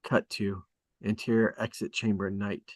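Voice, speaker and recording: A man reading screenplay stage directions as scripted narration, with deliberate dramatic emphasis. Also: lowish voice, flat delivery, no room sound. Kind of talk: scripted narration at a table read.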